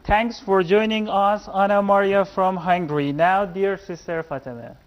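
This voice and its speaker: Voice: mid-range voice, steady tone, moderate pace, lo-fi sound. A co-host of a studio discussion who introduces guests and asks questions briefly.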